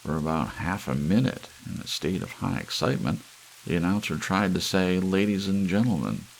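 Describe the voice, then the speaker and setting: Deep, very gravelly male story narrator. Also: moderate pace, low-quality recording. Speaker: audiobook narrator. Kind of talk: relaxed ASMR story narration. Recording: faint hiss, soft rain behind. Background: soft rain.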